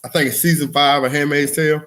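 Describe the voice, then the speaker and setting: High-pitched man chatting in casual slang. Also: fast, slight background noise. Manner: slangy, animated, repeats himself for emphasis. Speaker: podcast co-host. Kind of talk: casual comedy podcast chat.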